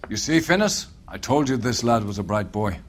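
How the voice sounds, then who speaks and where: An actor playing a senior police captain in a game, with a hearty, paternal, authoritative way of talking. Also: male voice, fairly low pitch, animated, moderate pace. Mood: commanding.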